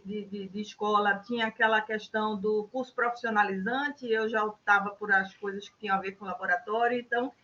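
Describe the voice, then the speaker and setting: A woman's voice: on the high side, pushed and bright, muffled recording. An older woman with a Recife Brazilian Portuguese accent, answering interview questions in a chatty way with frequent tag questions and restarts. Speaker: interview guest, innovation specialist.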